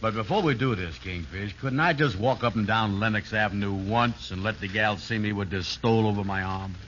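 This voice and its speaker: An actor playing a slow-witted, gullible sidekick in 1950s radio comedy, with folksy dialect grammar. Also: male voice, on the low side, a bit bright, lightly acted. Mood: eager. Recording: noisy, muffled 1950s radio recording.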